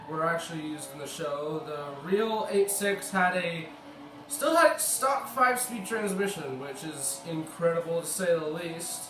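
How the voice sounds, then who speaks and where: A man's voice: sits high, noisy background. An American man, a bit sick, giving rambling casual commentary over gameplay and correcting himself as he goes. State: slightly sick. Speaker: gaming video creator. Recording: echoey room, mic at a distance, faint music.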